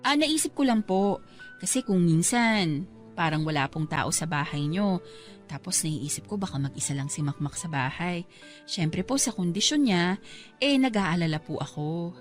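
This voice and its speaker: A woman's voice: lowish voice, expressive, normal speed, natural voice. An actress playing a young pregnant woman in a Filipino radio drama, polite, earnest and pleading, with faint music underneath. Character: pregnant young woman, kind worried neighbor.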